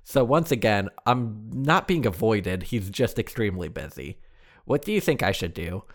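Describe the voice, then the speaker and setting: A man's voice: on the low side, lively intonation, moderate pace. A man co-hosting a podcast, reading a listener's letter aloud with a plain, dry delivery.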